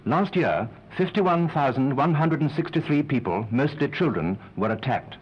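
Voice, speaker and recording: A British man with a husky voice narrating a 1940s public information film as a grave, formal warning. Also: fairly high pitch, lively intonation, normal speed. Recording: dull 1940s film soundtrack.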